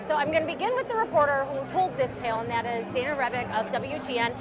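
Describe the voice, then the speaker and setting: A woman's voice: high voice, fast. A woman hosting a red carpet show, upbeat as she interviews guests. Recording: music and crowd behind.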